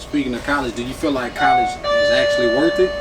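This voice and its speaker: A woman's voice: very deep voice, quick pace, over loud music. A woman interviewing a guest in a casual way, with laid-back slang and short affirmations. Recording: distant mic with loud air-conditioning hum.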